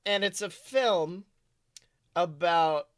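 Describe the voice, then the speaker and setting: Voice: mid-range voice, slow pace. A podcast co-host chatting casually and comedically about movies, rambling, jokey and loose with swearing.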